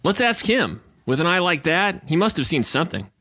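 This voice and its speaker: A man playing an awkward, sceptical young adventurer in an audio drama, with a casual, deadpan manner. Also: a little high, brisk, no echo, studio quality, exaggerated voice.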